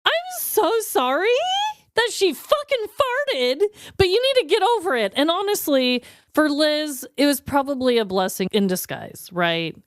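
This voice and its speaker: A woman co-hosting a podcast in casual comedic chat, emphatic and animated, joking crudely. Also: high-pitched, unhurried, no room sound, studio quality. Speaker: podcast co-host.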